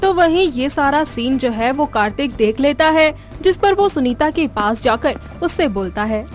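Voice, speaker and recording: A woman reading scripted narration for an animated moral story. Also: high voice, moderate pace, soft background music.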